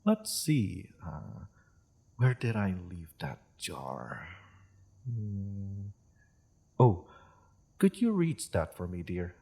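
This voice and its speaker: A man voicing a gentle, courteous dragon host in a roleplay audio drama, speaking politely and a little hesitantly to the listener. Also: low-pitched, big pitch swings, drawn-out words, vocal fry, long pauses, a little hiss or hum, heavily acted. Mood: focused, gracious. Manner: polite, refined, hesitant, softly chuckling host. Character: courteous, gentle dragon hosting tea in its cave.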